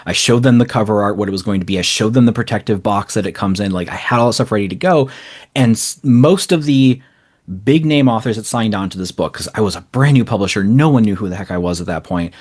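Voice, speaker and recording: Low-pitched man talking casually. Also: fast, darkish tone. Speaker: interview guest, small indie publisher. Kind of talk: casual conversational interview answers.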